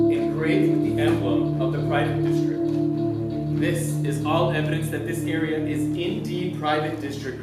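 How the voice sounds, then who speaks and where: Animated stage detective in echoey theater. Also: male voice, fairly high pitch, recorded from a distance. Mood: dramatic, intrigued. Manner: declamatory, addresses audience directly. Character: earnest human detective confiding in his audience. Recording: echoey theater from afar, loud music.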